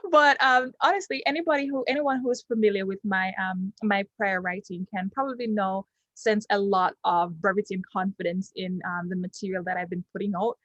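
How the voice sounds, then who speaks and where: A woman's voice: medium pitch, a little fast, a bit bright, no echo, highs cut off. Hesitant Jamaican woman, dry sound. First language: Jamaican Patois. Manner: hesitant, many ums and restarts. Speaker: client giving a testimonial. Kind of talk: unscripted testimonial.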